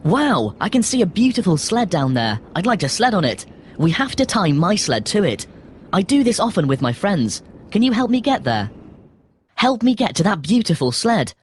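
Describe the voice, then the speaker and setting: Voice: medium pitch, sing-song, normal speed, slight background noise, lightly acted. An actor playing a bold, restless young boy in a children's story app, with an eager, bossy manner.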